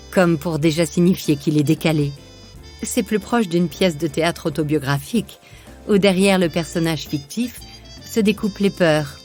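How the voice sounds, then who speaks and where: A woman's voice: lowish voice, a little mellow. A woman narrating a scripted biographical podcast.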